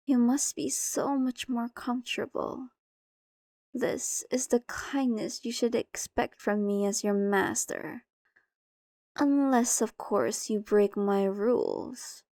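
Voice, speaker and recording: A woman's voice: on the high side, a little mellow, no room sound, studio quality. A woman playing an ancient, possessive female vampire in an intimate ASMR roleplay, condescending, coaxing and theatrical. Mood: stern, possessive.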